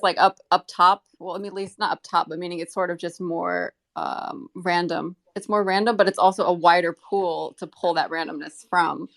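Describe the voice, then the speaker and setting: An American woman chatting casually as an interview guest, rambling and halting as she rephrases mid-sentence. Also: on the low side. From United States. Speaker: interview guest, community member. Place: live online audio group chat.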